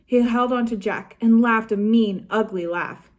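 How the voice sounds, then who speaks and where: A woman reads a children's chapter book aloud at an unhurried pace. Her voice sits a little high, and the telling stays slow and steady. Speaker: staff reader.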